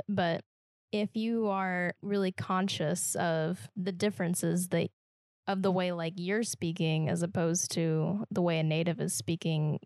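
A woman from Kansas with a Midwestern American accent talks through ideas on a casual podcast, her voice a little low and gravelly. She speaks in a reflective, halting way and restarts phrases often. The recording is dry and close, with no room sound. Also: darkish tone, no echo. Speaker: podcast co-host.

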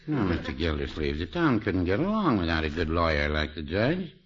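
A mild old small-town fellow in a 1950s radio comedy. His low-pitched voice is hesitant, folksy and drily deadpan. The old recording sounds muffled. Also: fairly quick.

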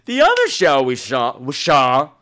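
A man's voice: medium pitch, wildly swooping pitch, unhurried, pushed and bright. A man hosting a podcast solo in casual, rambling talk, with a campy, self-mocking, bawdy manner. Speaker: podcast host.

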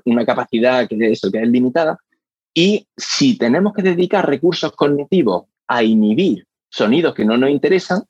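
A man's voice: mid-range voice, breathy edge, no echo, dull audio. A man explaining how attention and hearing work in an interview, thoughtful, often restarting and repeating words as he goes. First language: Spanish. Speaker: hearing research guest.

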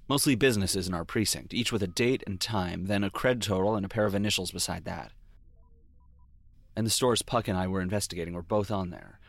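A man's voice: low voice, a bit bright, no echo. A man playing a brooding police detective, narrating a noir audio drama in a wry, confessional way. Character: brooding male police detective recalling his past mistakes. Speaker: lead character and narrator.

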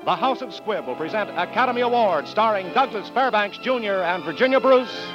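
Animated, high-pitched American radio announcer man. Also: a little fast. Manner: formal, polished delivery. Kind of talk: scripted 1940s sponsor narration and announcing. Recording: muffled 1940s radio broadcast with music.

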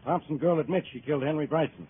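A man's voice: on the high side, rapid-fire, natural voice. An actor playing a hot-tempered, sarcastic police inspector who bullies his suspects, in the style of forties radio drama. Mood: irritated, threatening. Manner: blunt, bullying, sarcastic interrogation. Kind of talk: 1940s radio drama acting. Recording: tinny, hissy old radio recording.

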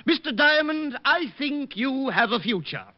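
An actor playing a pompous, fussy gentleman suitor in old radio drama, theatrical and affected. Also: very high voice, lively intonation, measured pace. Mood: admiring. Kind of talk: 1950s radio drama acting. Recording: muffled old radio recording.

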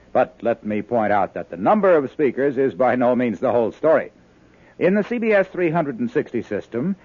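A man's voice: a little high, a little mellow, no room sound. A man with an American accent reading a 1950s radio advertisement as announcer, polished and emphatic in his salesmanship. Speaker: commercial announcer. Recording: dry, muffled old radio recording.

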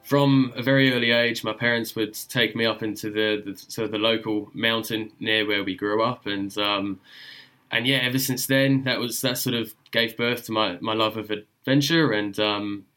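Hesitant young man, lowish voice. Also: fairly even intonation, normal speed, sharp tone. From born in UK, raised in Germany and Australia. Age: young adult. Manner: hesitant, with frequent ums and repeated words. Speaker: guest explorer. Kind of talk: casual interview storytelling.

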